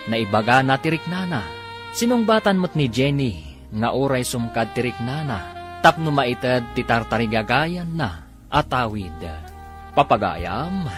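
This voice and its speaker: A man reading scripted narration as the announcer of a radio drama. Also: mid-range voice. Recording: music under the voice. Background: dramatic music.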